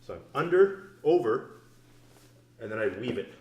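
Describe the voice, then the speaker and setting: A man's voice: mid-range voice, sing-song, normal speed, roomy sound, poor audio. Casual joking man teaching grappling. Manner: casual, joking, explains step by step. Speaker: grappling instructor. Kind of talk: instructional demonstration. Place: training mat room.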